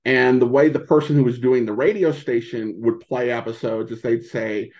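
A man speaking as a podcast guest in casual interview chat, halting as he thinks aloud. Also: mid-range voice, steady tone, dull audio. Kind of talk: casual interview chat about old radio shows.

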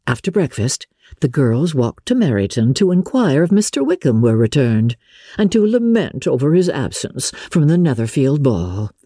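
A woman narrating classic literature as a dramatic reading, expressive, measured and articulate. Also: low-pitched, slightly rough, no room sound, studio quality. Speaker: audiobook narrator.

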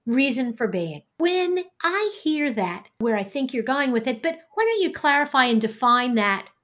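A woman's voice: sits high, very animated, moderate pace, fairly bright tone. A middle-aged woman hosting a podcast interview, introducing and questioning her guest in an upbeat, chatty manner. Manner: enthusiastic, chatty. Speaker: podcast host.

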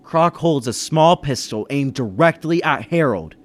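An actor playing a level-headed businessman who narrates a murder mystery in old-time radio style, measured and reasoning. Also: mid-range voice, animated. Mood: calm narrating. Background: music behind the voice.

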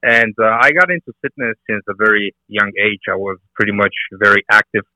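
A man's voice: on the low side, a little fast, sharp tone, no room sound, poor audio. A middle-aged man with a Bulgarian accent, a guest on a podcast interview, talking earnestly with many hesitant fillers. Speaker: guest, fitness and nutrition coach.

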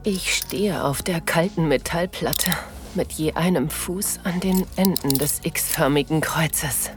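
An actress playing a submissive, aroused woman, narrating intimately in a breathy, whispery voice in an erotic audio drama. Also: low voice. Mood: breathless, sensual. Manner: slow, sensual delivery. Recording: music behind the voice.

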